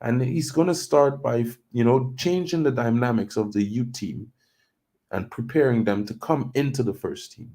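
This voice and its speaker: Mellow-voiced man hosting football chat. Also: medium pitch, moderate pace. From Trinidad and Tobago. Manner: chatty, loose, thinks aloud with fillers. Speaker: football news show host. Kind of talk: casual football talk show chat.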